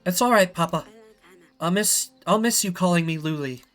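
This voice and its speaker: A man's voice: sits high, moderate pace, a bit bright, soft background music, pitched above the performer's own voice. Man voicing a sorrowful, tender young princess. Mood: sad, tender. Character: gentle, sorrowful eldest princess, loving daughter of the king.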